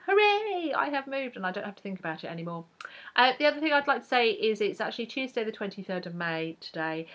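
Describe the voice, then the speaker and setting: A woman's voice: on the low side, a bit bright. A young English woman chatting to camera as a book vlogger, rambling with fillers and restarts. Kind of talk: casual chat to camera.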